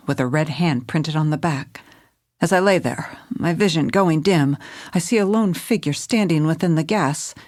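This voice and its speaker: A woman's voice: on the low side, natural voice. An actress playing a hard-boiled, weary female police commissioner in a noir audio drama, with a wry, clipped delivery. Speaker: narrator and lead character.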